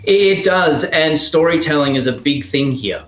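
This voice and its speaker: A man chatting casually as an interview guest, often pausing mid-thought as he explains. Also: a little high, lively intonation, fairly bright tone, highs cut off, faint music behind. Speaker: interview guest, radio play performer. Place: indoors at a heritage house. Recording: roomy echoing sound.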